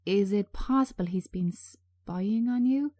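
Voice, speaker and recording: A woman voicing a calm, supportive male friend in a gentle, measured manner. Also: medium pitch, close to an everyday voice. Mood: careful.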